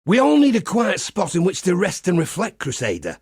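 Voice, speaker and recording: A man voicing a pious, wry crusader priest in a video game, with a husky, gravelly voice and a measured, folksy preacher's manner. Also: high voice, huge pitch swings, dry recording, clean studio sound, full character voice. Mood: coaxing, reverent. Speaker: game character. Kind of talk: scripted game character monologue.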